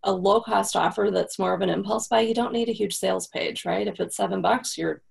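Woman with heavy vocal fry and a husky edge, chatty how-to talk. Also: medium pitch, a little fast. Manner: practical, explanatory, frequent "right?". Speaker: copywriting guest. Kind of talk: casual podcast interview.

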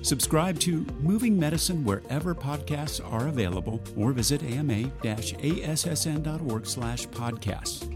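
A man's voice: a little high, animated, normal speed, few pauses. A man hosting a podcast, reading scripted introductions and a sign-off. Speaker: podcast host. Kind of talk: scripted announcing. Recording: over background music.

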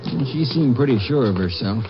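An American actor playing a plain-spoken, folksy Texas sheriff in a 1950s radio drama. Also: male voice, mid-range voice, expressive, a little fast, lightly acted. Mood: curious. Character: folksy, talkative male small-county Texas sheriff. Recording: noisy, muffled old radio recording.

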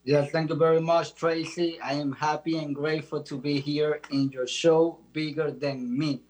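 A man's voice: a little high, level tone, normal speed, a little mellow, rough recording. A man from the Dominican Republic giving earnest, uplifting motivational talk as a show guest. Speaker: guest, motivational speaker and author.

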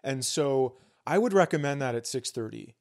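A middle-aged man co-hosting a comedy podcast, telling exaggerated stories with a profane, deadpan delivery. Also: mid-range voice, normal speed, creaky. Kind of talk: comedic podcast banter and storytelling.

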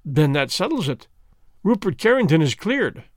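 A man's voice: a little high, very animated, normal speed, no room sound, studio quality. A man reading the part of an eager, earnest young male companion who jumps to conclusions.

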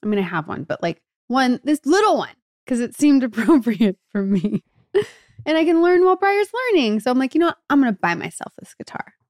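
High-voiced American woman telling casual stories. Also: a little fast, dry recording, studio quality. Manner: animated storyteller, peppers talk with "like" and quoted dialogue. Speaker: podcast co-host. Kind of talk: casual anecdotal chat.

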